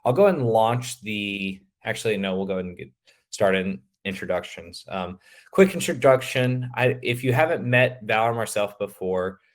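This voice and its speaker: A man presenting a webinar, his voice a little raspy and hoarse, talking casually with many fillers. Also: fairly low pitch, normal speed. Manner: casual, filler-heavy, loose explanations. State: slightly hoarse.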